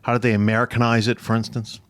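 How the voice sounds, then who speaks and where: A man's voice: mid-range voice, flat delivery, fast talker, dry recording. A man hosting an interview show, asking questions in a conversational way. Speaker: podcast host interviewing experts.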